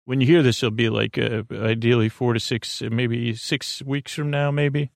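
Creaky-voiced man rambling through tangents. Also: medium pitch, fairly even intonation, moderate pace, no echo, clean studio sound. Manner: meandering, full of tangents and fillers. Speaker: podcast host. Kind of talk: rambling bedtime podcast intro.

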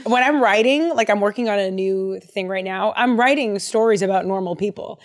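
A young adult woman co-hosting a podcast in casual chat, playful and animated, slipping into little voices. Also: medium pitch, fairly quick. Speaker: podcast co-host.

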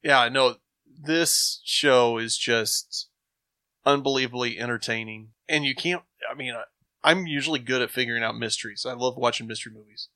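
A man's voice: mid-range voice, normal speed, sharp tone, no room sound. A man chatting casually and enthusiastically as a podcast panelist, effusive about what he likes.

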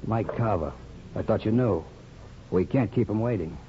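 Mob henchman, male actor: lowish pitch, courteous yet pushy, muffled vintage radio sound. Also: normal speed, lightly acted. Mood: smooth, insistent. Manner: polite but pressing. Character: slick, deferential gangster henchman, adult man. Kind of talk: 1950s radio drama acting. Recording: muffled 1950s radio broadcast.

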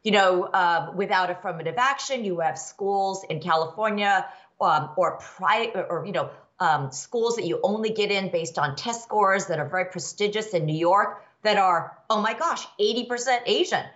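A woman's voice: mid-range voice, moderate pace, a bit bright, muffled recording. A woman in her fifties from the American Midwest, answering interview questions candidly with many fillers and restarts. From United States, Midwest. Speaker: guest expert, law professor.

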